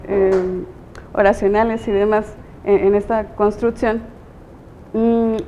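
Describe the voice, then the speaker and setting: A Mexican woman teacher explains her ideas slowly and thoughtfully, with many pauses. Her voice is warm and mellow and sits a little high. The sound is slightly dull, with a faint low rumble behind it. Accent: Mexican Spanish. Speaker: teacher and guest. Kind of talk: interview answers.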